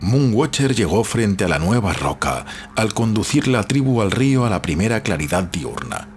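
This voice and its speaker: A man from reads literary fiction as a scripted audiobook narration, in a measured, expressive storytelling manner. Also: fairly low pitch. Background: background music.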